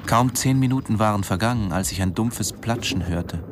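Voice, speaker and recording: An actor playing a brooding male diving instructor in a German radio drama, narrating in a measured, introspective way. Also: lowish voice, noisy background, natural voice. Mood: brooding, tense.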